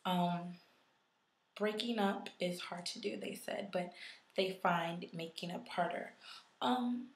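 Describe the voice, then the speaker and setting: A woman talking casually and thoughtfully in a video response, hoarse because she is losing her voice from illness. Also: fairly low pitch, takes it slowly, roomy sound. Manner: conversational, reflective, with frequent ums. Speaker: YouTuber.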